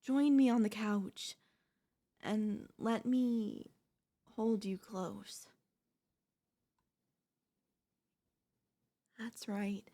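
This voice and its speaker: A woman playing an anxious, doting and quietly controlling wife in an intimate ASMR audio roleplay, with breathy, hesitant, coaxing delivery. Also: medium pitch, extremely slow. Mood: tender, soothing.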